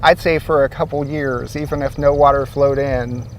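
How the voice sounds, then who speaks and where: A man's voice: mid-range voice, fairly even intonation, moderate pace, far from the mic, slight background noise. A man from Ohio, a guest, explains how his city's water supply works in an easygoing way with some fillers, speaking outdoors by a lake. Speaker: source water protection coordinator, guest. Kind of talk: explanatory interview answers.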